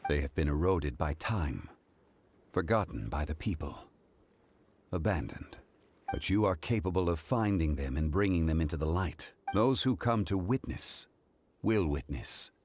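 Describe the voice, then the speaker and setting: An actor playing an ancient, wise male god, speaking in a measured, formal and solemn way in a game. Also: deep voice, expressive, a little mellow, a little hiss or hum. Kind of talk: game voice acting. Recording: faint game music behind.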